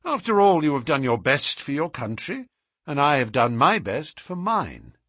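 A man voicing an urbane, wry English gentleman detective in an audiobook, courteous, precise and self-assured. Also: on the high side, very animated, normal speed, slightly rough, no room sound, clean studio sound, voice pushed up, full character voice. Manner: courteous, ironic, precise, unruffled.

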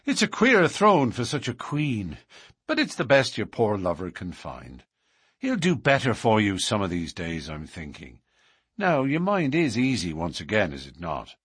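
A man's voice: fairly low pitch, wildly swooping pitch, unhurried, fairly bright tone, husky, dry recording, clean studio sound, full character voice. A man voicing a secretive, passionate young lover with a lilt, speaking earnestly and coaxingly in an audiobook. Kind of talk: audiobook character reading.

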